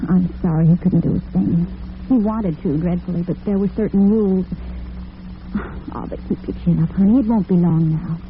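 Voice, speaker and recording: A woman's voice: fairly low pitch, normal speed, close to an everyday voice. An actress playing a devoted, worried young working woman in old-time radio drama, earnest and tender. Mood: tender, reassuring. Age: young adult. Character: devoted young waitress-cashier daughter, worried but brave. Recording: noisy, tinny vintage radio recording.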